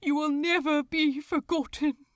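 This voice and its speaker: A woman voicing a calm, tender male angel with a soft, breathy voice in an audiobook. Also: sits high, a little slow, dry recording, studio quality, voice pushed up. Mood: tender, tearful.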